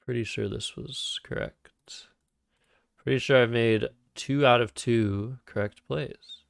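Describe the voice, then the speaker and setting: A man streaming a word game who thinks aloud about his moves in a calm, soothing, soft-spoken way, recorded on a cheap microphone. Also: mid-range voice, slow, no room sound, clean studio sound. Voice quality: vocal fry. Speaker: Scrabble streamer. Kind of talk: live-streamed game commentary.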